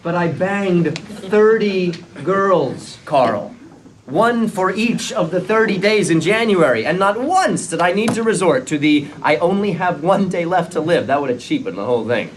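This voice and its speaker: A brash, boastful ladies' man with a bouncy, sing-song delivery that leaps into high yelps. The room hiss behind him is plain. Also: measured pace. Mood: smug. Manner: lively, playful line reading with sudden high yelps. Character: smug womanizing man, brash and showy. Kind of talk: script table read. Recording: noisy room recording.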